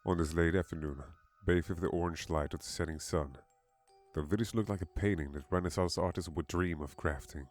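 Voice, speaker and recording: Low-voiced man narrating horror. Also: steady tone, fairly quick, soft background music. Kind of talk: scripted horror story narration.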